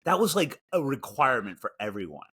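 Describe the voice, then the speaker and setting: A man's voice: mid-range voice, big pitch swings, brisk, pushed and bright, breathy edge. A man with a Chicago accent and a nasal voice, co-hosting a podcast in dry, self-mocking, rambling banter. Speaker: podcast co-host. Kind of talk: casual banter.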